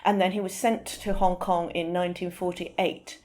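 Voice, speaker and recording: A woman speaking as an interview guest, explaining things informally and sometimes pausing to search for the right word. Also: on the low side, little pitch movement, darkish tone.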